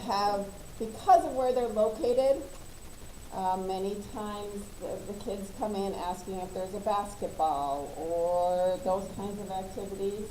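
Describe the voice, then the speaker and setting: Loud, mellow-voiced woman running a meeting. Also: mid-range voice. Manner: chatty, loud, with frequent "um" and "uh" fillers. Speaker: meeting facilitator. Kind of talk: casual meeting announcements. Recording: roomy live-stream audio with faint noise.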